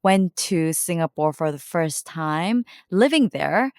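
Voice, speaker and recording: Calm low-voiced woman, smooth and clear. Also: slow pace, no echo, studio quality. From Japan. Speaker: social enterprise founder, guest. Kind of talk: interview answers.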